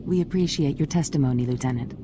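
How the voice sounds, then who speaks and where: A woman's voice: a bass voice, quick pace, noisy background. An actress playing a formal, guarded female official who politely shuts questions down. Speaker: presiding senator.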